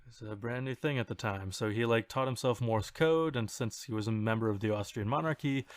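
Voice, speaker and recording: A man co-hosting a podcast, explaining things in an informal, halting way. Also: medium pitch, no echo, studio quality. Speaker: podcast co-host. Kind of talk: casual explanatory podcast chat.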